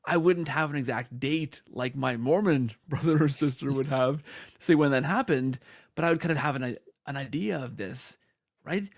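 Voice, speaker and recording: A man's voice: medium pitch, normal speed, dry recording, clean studio sound. A man hosting a conversational interview podcast, talking emphatically and often checking in with "right?". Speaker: podcast host.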